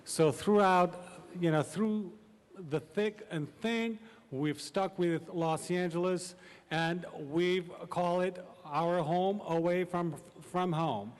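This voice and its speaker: A man's voice: high voice, steady tone, slow pace, long reverb tail. A man speaking for an airline at a ceremony, formal and hesitant with fillers. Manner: hesitant, many ums. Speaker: airline representative. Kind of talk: formal speech.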